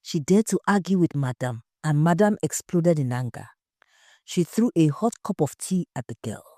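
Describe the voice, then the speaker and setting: A woman's voice: low voice, expressive, moderate pace, warm tone, no echo, studio quality. A woman voicing a gossiping young shop girl who confides dramatically in an audio story. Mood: conspiratorial.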